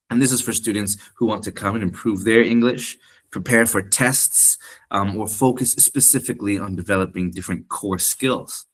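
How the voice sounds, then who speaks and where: A man from Canada and Thailand, a program director, giving an online seminar presentation in a polite, earnest, measured way. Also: fairly low pitch.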